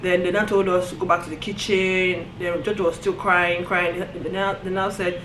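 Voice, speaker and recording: A woman with a Nigerian accent telling a story on her vlog in an animated way, often breaking into laughter. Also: fairly low pitch, moderate pace, a little hiss or hum. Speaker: vlogger. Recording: roomy echo, faint ambience.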